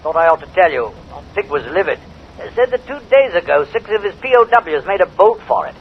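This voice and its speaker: An actor playing a blustering British army general with clipped, hesitant military speech in a vintage radio drama. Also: male voice, high voice, big pitch swings, fairly quick, husky, full character voice. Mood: gruff, apologetic. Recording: dull, faintly noisy old radio recording.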